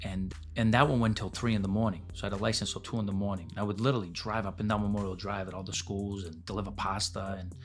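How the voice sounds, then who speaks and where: Man, 40s, podcast guest; rapid, low-pitched, candid and profane storyteller. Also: flat delivery, soft background music. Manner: blunt, casual, swears freely. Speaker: podcast guest, pasta business owner.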